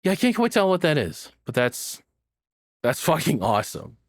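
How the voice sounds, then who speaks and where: A man's voice: on the high side, huge pitch swings, moderate pace, no echo. A man giving casual, enthusiastic reaction commentary as a streamer, swearing freely in an informal way.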